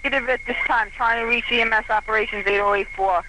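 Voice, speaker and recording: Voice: medium pitch, fairly even intonation, fast. An emergency dispatcher speaking in formal, procedural phrases over a two-way radio. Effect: two-way radio transmission. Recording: very noisy, tinny EMS radio tape.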